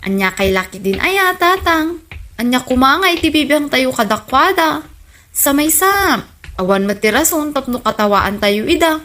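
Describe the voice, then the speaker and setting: A woman plays a good-humored daughter in an Ilocano radio drama. Her voice is fairly high, with a Filipino accent. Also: lively intonation, normal speed, pushed and bright. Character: good-humored grown daughter of old father.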